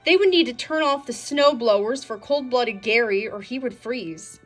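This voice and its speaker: A woman reading a children's storybook aloud in an expressive storytelling style, as narrator. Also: fairly high pitch, normal speed, soft background music. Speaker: storybook reader.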